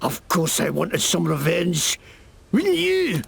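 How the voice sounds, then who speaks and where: An actor playing a crude, foul-mouthed wasteland crook in a game, speaking with a put-on Scottish accent in a gravelly, rambling, cocky way. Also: male voice, slow pace, slight background noise, heavily acted. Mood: grumbling. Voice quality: gravelly, high and lively, swearing a lot.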